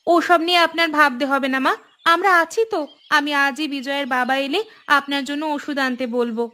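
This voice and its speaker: Caring young village housewife for a cartoon, really high sharp voice, hardworking type. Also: female voice, fairly even intonation, a little fast. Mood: concerned, reassuring. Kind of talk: Bengali cartoon voice acting.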